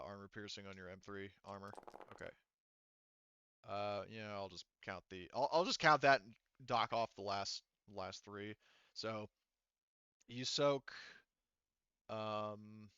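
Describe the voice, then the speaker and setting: Male game master, lowish bright voice, clean tone, halting rules explanations, slightly dull lo-fi audio. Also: clean tone, no echo. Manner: halting, thinking aloud while explaining rules. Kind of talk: running an online tabletop roleplaying game.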